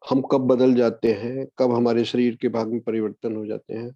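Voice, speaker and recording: A man guiding a meditation as a spiritual discourse, speaking in a measured way with long pauses. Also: medium pitch, level tone, no room sound. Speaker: meditation guide. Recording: dull, lo-fi, dry audio.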